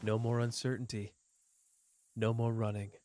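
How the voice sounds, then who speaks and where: A man's voice: mid-range voice, level tone, moderate pace, lightly acted. A man voicing a teasing, world-weary young man. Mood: bleak. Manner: soft, teasing, drifting between playful and bleak. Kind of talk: ASMR roleplay.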